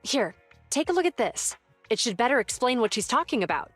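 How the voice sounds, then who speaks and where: Game role: a brisk, dutiful young policewoman, lively pitch. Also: faint music behind. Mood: earnest. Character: earnest, dutiful young female police officer. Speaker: public security officer, Zhu Yuan.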